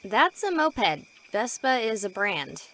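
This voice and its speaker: A woman's voice: medium pitch, very animated, slow, pushed and bright, exaggerated voice, rough recording. A woman playing a modest, evasive young streamer in a visual novel, speaking in a casual, hesitant way.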